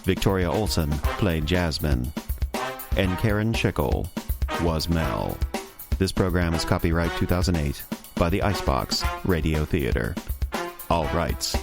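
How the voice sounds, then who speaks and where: A man's voice: deep voice, animated, measured pace, gravelly. A man announcing the scripted closing credits of a radio drama. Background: music with guitar and drums.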